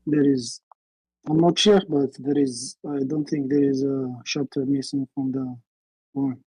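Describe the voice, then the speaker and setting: A man's voice: mid-range voice, fairly even intonation, warm tone, husky, dry recording, rough recording. A man from Algeria, calling in and answering hesitantly. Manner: hesitant, halting. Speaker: caller.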